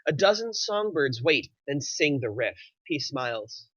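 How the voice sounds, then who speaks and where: Bright-voiced man reading stage directions. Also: mid-range voice, very animated, unhurried, dry recording, dull audio. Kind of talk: scripted narration at a screenplay table read.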